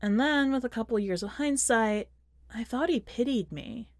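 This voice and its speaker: A woman's voice: on the high side, moderate pace, dry recording. Woman narrating fiction, close dry recording. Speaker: podcast host and fiction reader. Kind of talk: audiobook-style first-person short story narration.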